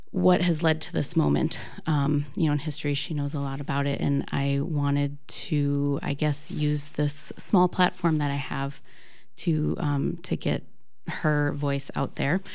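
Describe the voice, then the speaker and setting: A woman with a low, gravelly voice and an American accent hosts a casual podcast chat. She talks loosely, with frequent "um"s as she gathers her thoughts. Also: little pitch movement, measured pace, darkish tone. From United States. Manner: chatty, many "um" fillers. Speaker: podcast host. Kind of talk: casual interview.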